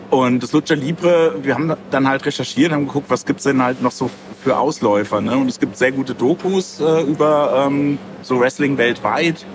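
A man chats in a casual interview as a comic creator, with a mid-pitched voice. He thinks aloud in loose, rambling clauses, over clearly audible urban background noise.

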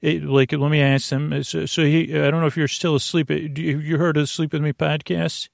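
A man's voice: fairly high pitch, flat delivery, fairly quick, creaky, no echo, clean studio sound. A man hosting a bedtime podcast in a meandering, stammering monologue full of whimsical, goofy tangents. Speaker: podcast host.

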